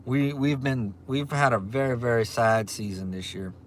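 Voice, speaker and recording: Louisiana-accented man in his forties, live-stream host, relaxed, mid-pitched, faint background noise. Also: normal speed. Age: 40s. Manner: easygoing, repeats himself, frequent ums. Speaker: livestream host. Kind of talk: casual livestream chat reading viewer comments. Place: restaurant. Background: faint restaurant ambience.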